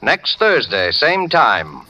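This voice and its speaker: Bright, forceful male radio announcer. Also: mid-range voice, huge pitch swings, takes it slowly, dull audio. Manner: crisp, formal, dramatic. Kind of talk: 1940s suspense show announcing. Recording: old radio broadcast with music behind.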